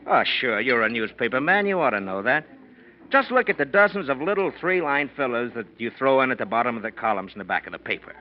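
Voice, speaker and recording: A breezy male homicide detective played by an actor, slangy and sure of himself, with very animated pitch. The old radio drama recording is muffled, with faint noise. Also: quick pace, natural voice. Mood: explaining. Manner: chatty, emphatic, explanatory.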